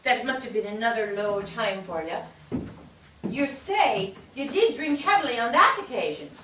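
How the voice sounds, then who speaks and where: Knowing interrogator, played by a woman, animated and high, recorded far from the mic on a stage. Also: moderate pace. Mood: sympathetic. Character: probing, gently knowing questioner of a drinker. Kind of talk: staged play reading. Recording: echoey stage, distant mic, background hiss.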